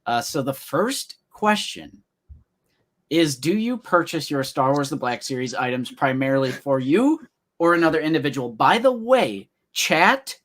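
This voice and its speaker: Lively young man hosting a livestream. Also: fairly high pitch, a little slow. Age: 20s. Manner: upbeat, addressing the live chat. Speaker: host. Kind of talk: livestream chat.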